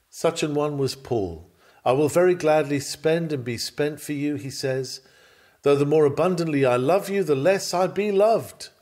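A man reads a written sermon aloud in a measured, earnest, rhetorical manner. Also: mid-range voice, a little mellow. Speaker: reader of a sermon.

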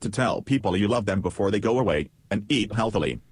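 Man acting as a husky, blunt chatbot. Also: lowish voice, expressive, fast talker, no echo. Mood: matter-of-fact. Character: male chatbot, contrary, evasive, blunt and childlike. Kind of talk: reenacted text-chat interview.

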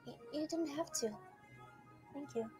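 Comic dub: shy young female snake critter, woman performer, high voice, hesitant delivery. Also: over music. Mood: shy, nervous. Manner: hesitant, halting.

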